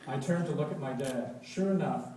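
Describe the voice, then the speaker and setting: A man reading a story aloud to children in an expressive, storytelling way. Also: medium pitch, normal speed. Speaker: story reader leading children at a church. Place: large echoey indoor church space. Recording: echoey room, distant mic, faint noise.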